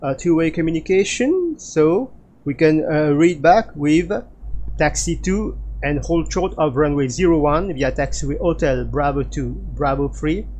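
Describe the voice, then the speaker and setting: A man explaining things as an instructor in a tutorial, speaking haltingly with frequent filler pauses. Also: fairly high pitch, expressive, darkish tone. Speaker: flight simulator instructor.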